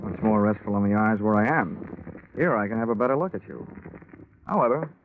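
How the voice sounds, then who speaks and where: A man's voice: fairly low pitch, lively intonation, a little fast, close to an everyday voice. An American man playing a brash, wisecracking hard-boiled investigator in a 1940s radio drama, with a wry, slangy delivery. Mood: flirtatious. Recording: tinny, noisy 1940s radio recording.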